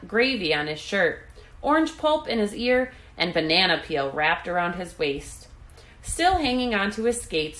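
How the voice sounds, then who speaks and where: A woman reading a children's book aloud in an expressive narrating style. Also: mid-range voice. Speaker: storybook reader.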